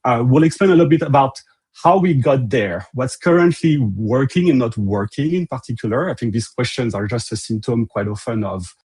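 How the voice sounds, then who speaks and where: French-accented man with a husky voice. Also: medium pitch, expressive, moderate pace, darkish tone. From France. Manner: loose and conversational, frequent uh fillers and restarts. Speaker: company CEO presenting a webinar. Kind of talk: presentation to an online audience.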